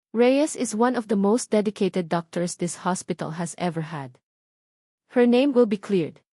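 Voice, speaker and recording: A Filipino woman voicing a calm, cold, commanding male hospital owner in a story, firm and measured. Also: mid-range voice, no room sound, studio quality.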